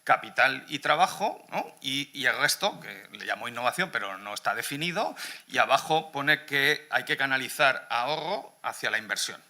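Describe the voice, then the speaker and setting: Bright, forceful Spanish man speaking on a panel. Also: medium pitch, expressive, moderate pace. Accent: Spain Spanish. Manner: colloquial, blunt, peppered with question tags. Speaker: panel speaker at a business conference. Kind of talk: informal, off-the-cuff panel talk on economics.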